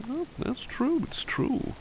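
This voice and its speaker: A man playing a relaxed, courteous butler in an ASMR roleplay, polite and hesitant as he muses to the listener. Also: extremely high pitch, takes it slowly, a little hiss or hum, rough recording. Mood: pleased, gentle. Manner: genteel, polite, hesitant, musing. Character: relaxed, courteous male butler who paints. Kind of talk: ASMR roleplay, one-sided chat with listener.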